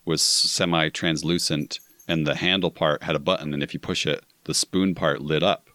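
Very deep-voiced, easygoing male guest. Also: steady tone, normal speed, bright, forceful tone, no room sound. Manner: enthusiastic, easygoing storyteller. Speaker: podcast guest. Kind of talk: casual podcast chat.

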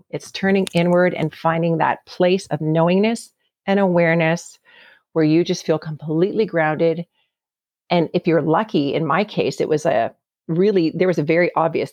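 Gravelly-voiced woman telling her story. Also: lowish voice, a little mellow, no echo. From Canada. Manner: earnest, heartfelt, often repeats words. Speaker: guest. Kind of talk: reflective personal storytelling.

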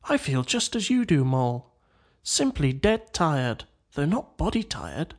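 A man's voice: on the high side, sing-song, measured pace, full character voice. A man voicing a poetic water rat in a storybook, murmuring in a dreamy, languid way. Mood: tired, contented. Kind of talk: storybook character dialogue.

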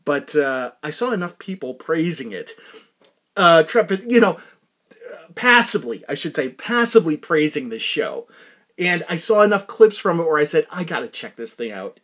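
Middle-aged guy podcast host, pretty high voice, chatty and animated, keeps tripping back over his own words. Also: moderate pace. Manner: animated, self-interrupting, repeats words for comic emphasis. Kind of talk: rambling casual podcast monologue.

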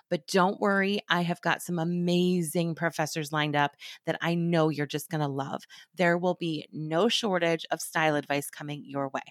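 A woman's voice: fairly low pitch, no room sound, clean studio sound. Upbeat woman host, slightly scratchy. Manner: upbeat, friendly, chatty. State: a little scratchy after a cold. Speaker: podcast host, stylist. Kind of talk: scripted podcast talk.

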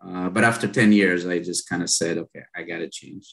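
A man in his late thirties from Israel with an Israeli accent, telling his story as an interview guest in a relaxed way with many fillers. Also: low voice, brisk, gravelly. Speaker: company founder and guest. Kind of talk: casual interview chat.

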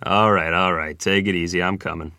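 A man's voice: low voice, fairly even intonation, brisk, pushed and bright, clean tone, no echo, clean studio sound. A man playing a rugged, easygoing ferryman of thirty-five, folksy and laconic, at a table read of a period drama. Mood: calm, commanding.

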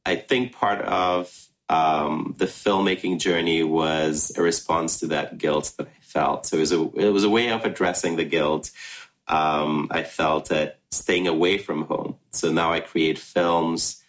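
A man in his thirties from Ghana, first language Russian, answering interview questions reflectively with many pauses and restarts. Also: rumbling low, fairly even intonation. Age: 30s. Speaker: filmmaker.

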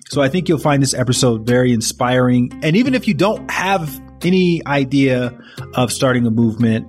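An earnest man hosting a podcast, speaking in a reflective, unhurried way. His voice sits at an ordinary pitch, with a faint guitar under it. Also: a little mellow. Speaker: podcast host. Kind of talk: podcast intro and interview.

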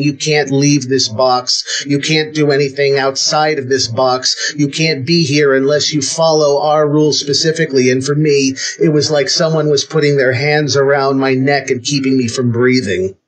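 A man in his thirties with an American accent, answering interview questions earnestly, pausing often with ums. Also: medium pitch, steady tone. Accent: American, lived long in Israel. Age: 30s. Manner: earnest, hesitant with many ums. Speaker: guest.

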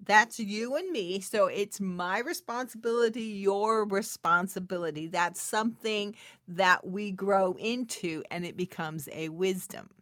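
Casting: middle-aged female inspirational speaker, unhurried delivery, emphatic testimonial style with intimate asides, dry close sound. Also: medium pitch, fairly bright tone, no room sound. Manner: emphatic and testimonial, repeating phrases for weight; intimate, chuckling asides to the listener. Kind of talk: devotional talk addressed to listeners.

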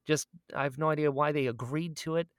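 A man's voice: fairly high pitch, fairly even intonation, a little fast, dry recording, studio quality. A man co-hosting a casual podcast chat, talking in a self-deprecating, rambling way. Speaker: podcast co-host and audio drama creator.

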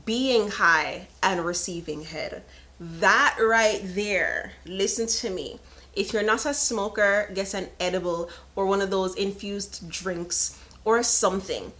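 A woman's voice: medium pitch, slow, bright, forceful tone. A woman in her thirties hosting a casual live podcast, chatting frankly and laughing often. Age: 30s. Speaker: podcast host. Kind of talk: casual live-stream chat.

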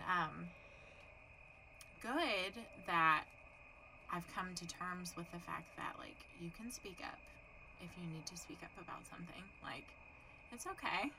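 Bright, chatty young Texan woman. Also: lowish voice, vocal fry, a little hiss or hum, poor audio. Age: young adult. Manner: chatty, frank, swears casually, laughs often. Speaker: podcast co-host. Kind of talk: casual chat.

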